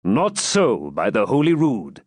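A man voicing a confident medieval king for a storybook, with measured, formal, archaic speech. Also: medium pitch, huge pitch swings, no room sound, clean studio sound. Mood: calm.

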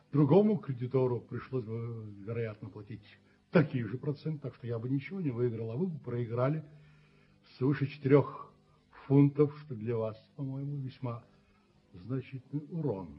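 Radio drama role, male actor: stern rich landlord and proud father, husky mid-range voice, formal and weighty, dull old recording. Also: medium pitch, close to an everyday voice. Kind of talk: Soviet radio play acting.

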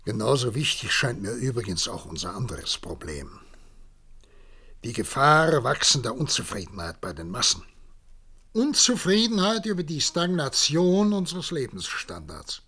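A man's voice: medium pitch, very animated, moderate pace, bright, forceful tone, husky, exaggerated voice. An actor playing a stern, resolute male government leader in a radio play, speaking formally and emphatically like an orator. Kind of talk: German science-fiction radio play acting.

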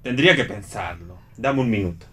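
A man's voice: on the low side, expressive, a little fast, sharp tone. A man with an Argentine accent playing a contrary, sardonic, restless character in a read radio play. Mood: dry. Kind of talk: read radio theatre dialogue.

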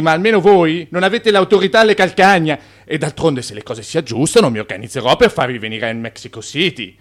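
Slippery banker, very animated. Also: male voice, high-pitched. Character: shrewd, slippery Italian immigrant banker and boss. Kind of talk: radio drama acting.

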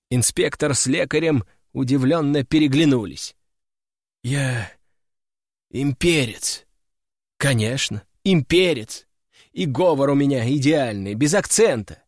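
A man's voice: mid-range voice, expressive, a little slow, a little mellow, dry recording, clean studio sound. A man narrating a fantasy audiobook in the first person, in a wry, ironic tone.

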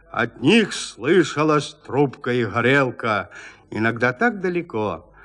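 A man with a fairly high voice narrates classic literature. His delivery is theatrical and very animated, rising and falling freely as he tells the tale. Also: long reverb tail. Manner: expressive storytelling. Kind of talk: dramatic literary audiobook narration.

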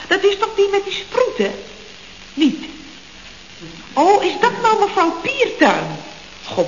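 A woman playing a chatty, scatterbrained secretary in a Dutch radio comedy from around 1970, full of questions. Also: fairly high pitch. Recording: roomy, dull, hissy old radio recording.

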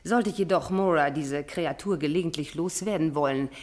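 Radio drama casting: a husky-voiced spurned mistress, wheedling yet proud, theatrical and pointed. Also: female voice, sits low, moderate pace. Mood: spiteful, needling. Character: scorned mistress Isabel, needy, proud and spiteful. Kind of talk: radio play acting.

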